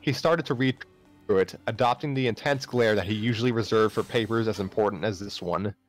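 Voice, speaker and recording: A man's voice: on the low side, fairly quick. A man narrating a story aloud live, sometimes stumbling and rereading phrases. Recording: music playing behind the voice.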